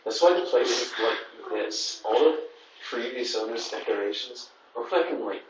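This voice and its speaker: A man's voice: mid-range voice, normal speed, a little hiss or hum, natural voice. A man voicing a casual young man in a story reading, with a slangy drawl. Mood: offhand. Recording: echoey room, distant mic.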